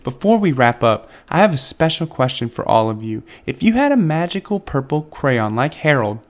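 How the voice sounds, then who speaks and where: A man reading a picture book aloud to children with expressive, dramatic phrasing. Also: mid-range voice, normal speed. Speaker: children's book reader and channel host. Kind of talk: expressive storybook read-aloud.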